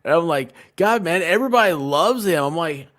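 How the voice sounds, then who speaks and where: Male streamer, lively intonation, loose jokey storytelling, conversational and rambling. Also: fairly high pitch, a little fast. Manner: jokey, tells stories by quoting people in exchanges. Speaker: live stream co-host. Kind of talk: casual rambling chat with anecdotes.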